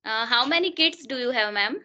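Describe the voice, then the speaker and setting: Polite hesitant woman, bright voice. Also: a little high, lively intonation, fairly quick, muffled recording. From Rajasthan, India. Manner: hesitant, polite, says ma'am often. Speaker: guest, homemaker. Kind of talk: conversation practice.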